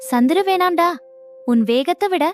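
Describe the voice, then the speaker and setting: A woman's voice: extremely high pitch, moderate pace, darkish tone, soft background music. A woman voicing a sensible, caring young fawn in a children's cartoon, speaking in an earnest, cautioning way. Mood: worried, cautioning. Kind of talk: children's animated moral story dialogue.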